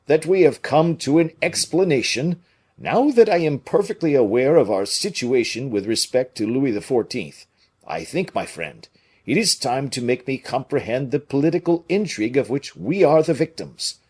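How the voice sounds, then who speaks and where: A man reading an audiobook, voicing a big, blustering, naive but good-hearted nobleman with loud, emphatic exclamations. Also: medium pitch, expressive, normal speed, slightly rough, pitched above the performer's own voice. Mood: magnanimous, earnest.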